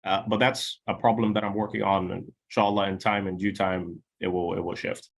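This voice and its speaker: A man co-hosts a casual podcast discussion and argues his point with animated emphasis. He poses rhetorical questions and cuts in with quick denials. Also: deep voice, gravelly, slight background noise. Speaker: podcast co-host.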